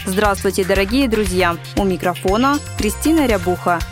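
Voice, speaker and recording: A woman's voice: mid-range voice, moderate pace. Woman presenter reading over music. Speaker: radio presenter. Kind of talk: scripted news feature narration.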